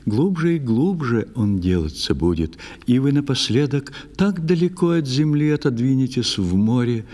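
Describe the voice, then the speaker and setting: An actor playing a stern, grave old priest in a verse audio play, declaiming with emphasis and suspicion. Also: male voice, mid-range voice, a little mellow, big-room sound, voice pushed up.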